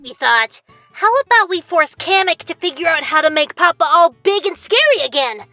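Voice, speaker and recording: A very high, bright cartoon kid voice as a cheeky little turtle-monster boy. He sounds bratty yet eager to please, recorded dry with music behind. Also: expressive, normal speed, dry recording, full character voice. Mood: puzzled. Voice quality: childlike, high-pitched. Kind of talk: cartoon voice acting for fan animated series.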